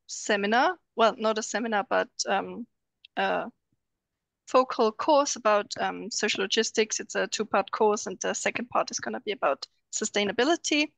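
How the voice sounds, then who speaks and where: A young woman student speaks over a video call, hesitant and filling gaps with ums. Her voice sits at an ordinary pitch. The sound is dry and close. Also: normal speed, creaky, a bit bright, no echo. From Austria. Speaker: student presenter. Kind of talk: online video call presentation.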